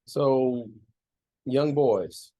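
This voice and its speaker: A man hosts the interview in a mellow, darker voice at a middle pitch. He asks measured questions and often affirms the guest with a quiet "right.".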